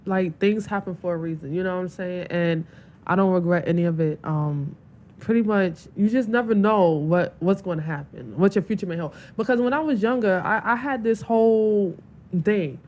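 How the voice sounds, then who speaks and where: A woman's voice: mid-range voice, normal speed, darkish tone. A woman guest on a podcast with a New York accent, talking in a laid-back, rambling way with lots of fillers. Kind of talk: casual chat.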